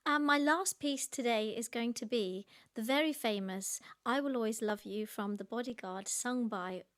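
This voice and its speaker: A woman singer who politely and graciously thanks her audience and introduces her next song on a livestream. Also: moderate pace. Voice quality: high voice. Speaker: classical singer.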